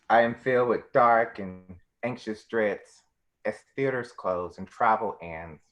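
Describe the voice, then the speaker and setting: A man's voice: lowish voice, a bit bright. A man playing an anxious, laid-off coffee shop worker in a poetic dramatic monologue.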